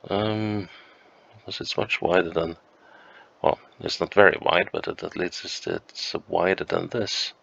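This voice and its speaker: A man with a flu, sounding croaky and sniffly, thinking aloud slowly and hesitantly as he plays a map game at home. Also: low-pitched, fairly even intonation, fairly bright tone, lo-fi sound. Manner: slow, musing, hesitant with many ums. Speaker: game streamer. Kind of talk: thinking-aloud gameplay commentary.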